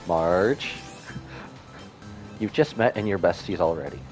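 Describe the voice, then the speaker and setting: A woman's voice: very deep voice, lively intonation, measured pace. A woman livestreaming a game with casual, wry, teasing reaction commentary. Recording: game music behind the voice. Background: video game music.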